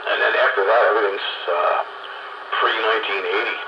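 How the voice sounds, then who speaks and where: A man's voice: fairly low pitch, fairly even intonation, a little fast, lo-fi sound. A middle-aged or older man chatting casually over two-way radio, rambling about technical details like a hobbyist. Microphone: radio transceiver microphone. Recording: noisy, band-limited two-way radio transmission.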